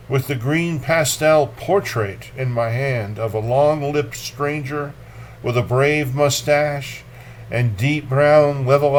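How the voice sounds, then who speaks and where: A man hosting a poetry reading, delivering verse in a dramatic, deliberate manner with casual commentary. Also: medium pitch, slight background noise. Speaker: poetry reader and host.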